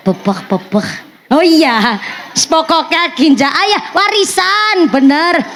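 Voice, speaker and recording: A woman preacher with a Banyumasan Javanese accent giving an animated, joking sermon, switching between Javanese and Indonesian. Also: high-pitched. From Central Java. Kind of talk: religious sermon.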